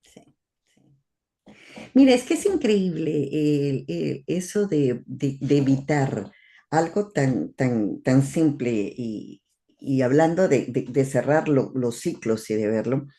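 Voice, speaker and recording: A Guatemalan woman hosting a relaxed talk, with a low and mellow voice. She speaks haltingly and repeats words while she gathers her thoughts. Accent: Guatemalan Spanish. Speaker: host. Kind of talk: casual conversation.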